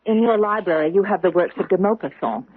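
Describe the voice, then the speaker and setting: An actress playing a grave, deliberate woman who presses an accusation, in old-time radio drama style. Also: mid-range voice, animated, husky. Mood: grave, probing. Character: grave, accusing woman confronting a killer judge. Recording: roomy, muffled old radio recording.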